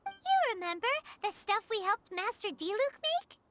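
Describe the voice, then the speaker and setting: A woman voicing a tiny, excitable childlike fairy companion in a video game, squeaky and bubbly. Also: normal speed. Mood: eager, scheming. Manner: speaks of herself in third person, bubbly. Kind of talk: animated video game voice acting. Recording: loud background music.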